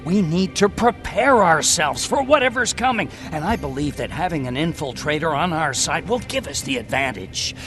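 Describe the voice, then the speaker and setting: An actor playing an eccentric, talkative scientist and hacker in a video game cutscene, eager, blunt and given to explaining. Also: male voice, fairly high pitch, lively intonation, normal speed, hardly any pauses, music in the background, natural voice. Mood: urgent, conspiratorial.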